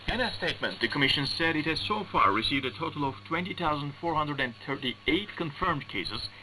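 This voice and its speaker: A man reading formal scripted news as a radio newsreader, in a measured, deliberate way, over shortwave radio. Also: on the high side, sharp tone. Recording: noisy humming shortwave radio broadcast.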